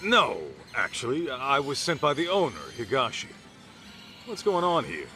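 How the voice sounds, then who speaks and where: A man's voice: mid-range voice, sing-song, moderate pace, pushed and bright, faint music behind. An actor playing a burly, easygoing former yakuza tough guy in a video game cutscene, slangy and sardonic. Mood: cocky, easygoing.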